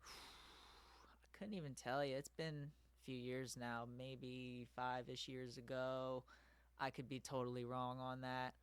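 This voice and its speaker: A young male host chats in a mid-pitched, smooth and clear voice. He fills his sentences with "like" and "I mean". The sound is close and dry. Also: little pitch movement, slow, dry recording. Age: young adult. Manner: chatty, uses "like" often. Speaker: interviewer, host. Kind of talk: casual interview conversation.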